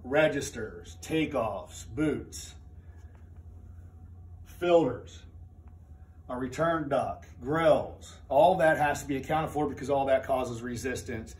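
A man's voice: mid-range voice, a little mellow. A middle-aged man teaching HVAC in a training room, explaining step by step with plain, methodical talk and frequent restarts. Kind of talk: hands-on technical demonstration. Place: indoor training room with a furnace.

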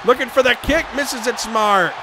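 A man losing his voice, shouting excited play-by-play for a wrestling video game. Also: very high voice, moderate pace. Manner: shouting excitedly over the action. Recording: game crowd noise behind voice. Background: video game arena crowd and sound effects.

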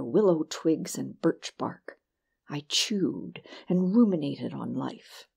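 A woman reading a first-person short story as an audiobook narrator, with expressive, dramatic delivery. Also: low-pitched, vocal fry, slightly rough.

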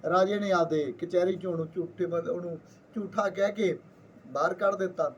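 A man with a Punjabi accent and a high voice tells a folktale in a loose, colloquial style. He repeats himself as he goes, as if chatting. Some ambient noise sits behind him. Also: brisk, far from the mic, highs cut off. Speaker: storyteller. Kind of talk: informal oral folktale storytelling.

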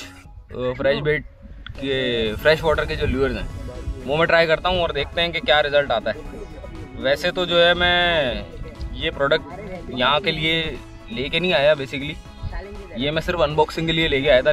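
A man in his thirties from Pakistan, reviewing fishing gear in a relaxed, chatty way while sitting outdoors by a lake, recorded on a camera set a few feet away. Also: fairly high pitch. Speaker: fishing vlogger. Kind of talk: casual outdoor product review. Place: outdoors on a lakeshore under a tree. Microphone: camera on a tripod a few feet away.

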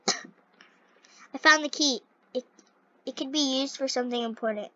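A young female gamer with a scratchy voice, commenting on a game and reading its dialogue aloud in an excitable, halting way. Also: high-pitched, dry recording. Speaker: gaming video host. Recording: dull, lo-fi close microphone.

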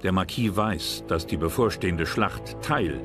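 Very deep-voiced male documentary narrator. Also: a little slow. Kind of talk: scripted historical narration. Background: loud music bed.

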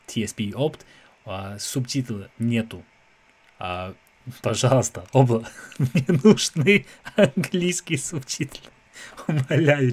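A man's voice: medium pitch, a little mellow. Young Englishman speaking accented, non-native speech. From England. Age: young adult. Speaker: reaction video host. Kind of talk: casual reaction commentary. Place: small room at home. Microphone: desk microphone close in front, headphones on.

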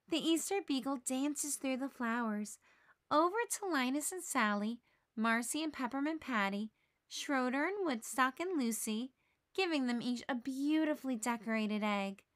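A woman reading a children's story aloud in an animated, dramatic way. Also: high voice, normal speed, no echo, studio quality. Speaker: storybook reader. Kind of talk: expressive children's read-aloud narration.

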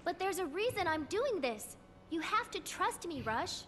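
An actress playing an earnest, gentle but determined teenage sister in a video game, youthful in manner. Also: female voice, extremely high pitch, sing-song, measured pace, long reverb tail, soft background music, full character voice. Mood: pleading. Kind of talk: video game cutscene acting.

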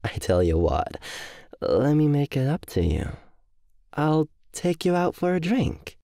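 A man's voice: on the high side, sing-song, a little slow, vocal fry, dark tone, no room sound, clean studio sound, heavily acted. A man playing a cruel, smug young demon bully who drawls tauntingly in an audio roleplay. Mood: feigned sincerity. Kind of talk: ASMR audio roleplay acting.

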